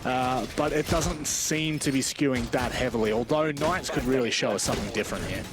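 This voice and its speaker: A man's voice: mid-range voice, a little fast. A man giving live play-by-play commentary on an esports match. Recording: game gunfire and clicks behind. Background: video game gunfire and clicks.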